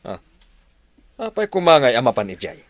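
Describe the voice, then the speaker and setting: A male actor plays a stern, unforgiving father in a radio drama. He is gruff and dismissive, with lively swings in an average male pitch. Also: normal speed, no room sound. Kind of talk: Ilocano radio drama acting.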